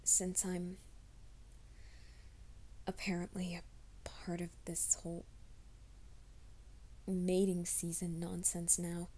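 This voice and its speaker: Shy young werewolf guy played by a woman: soft half-whisper, slow, flat, mellow, stammering. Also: on the low side. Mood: flustered pleading. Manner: hesitant, stammering, self-conscious. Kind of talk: ASMR roleplay.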